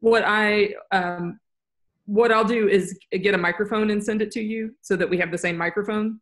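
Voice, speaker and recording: A woman's voice: mid-range voice, fairly even intonation, moderate pace. A woman producing online courses, talking in a casual, rambling and upbeat way on a video call. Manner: rambling, upbeat, self-correcting.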